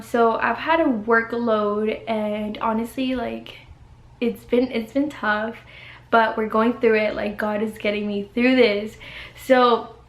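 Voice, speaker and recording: A woman's voice: on the high side, roomy sound. A young woman, chatting casually to camera from her bedroom while getting over the flu, giggly and full of "like". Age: 20s. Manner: chatty, giggly, uses "like" and "y'all". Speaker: vlogger, college student.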